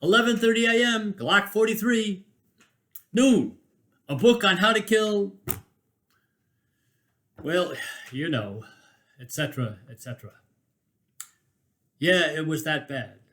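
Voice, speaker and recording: A man with a slightly high-set voice reads a written personal chronicle aloud. His delivery is that of a storyteller making a case, emphatic and rhetorical. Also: expressive, takes it slowly, pauses often, a bit bright. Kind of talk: dramatic reading of a first-person personal essay.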